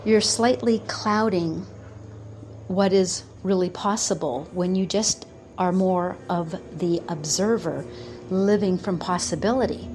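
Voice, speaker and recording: A woman in her fifties giving a slow, earnest motivational talk about manifesting, pausing often between phrases. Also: lowish voice, darkish tone, a little hiss or hum. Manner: slow, pausing, earnest, quotes doubters in put-on voices. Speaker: manifestation coach.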